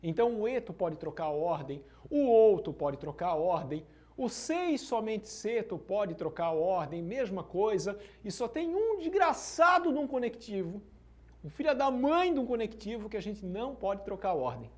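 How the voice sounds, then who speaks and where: A man's voice: high-pitched, big pitch swings, normal speed, a little mellow. A male teacher giving an exam-prep lesson at a whiteboard, slangy and emphatic, checking in with students often. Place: classroom at a whiteboard.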